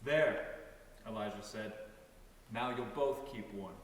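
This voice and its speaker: A man's voice: lowish voice, slow pace. A man giving a dramatic reading of a story, moving between narration and dialogue. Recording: very echoey hall, distant mic, room noise.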